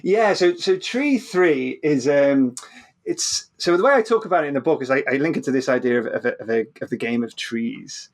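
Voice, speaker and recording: A man's voice: medium pitch, lively intonation, moderate pace. A man explaining science informally as an interview guest, often repeating words and restarting, checking in with "right?".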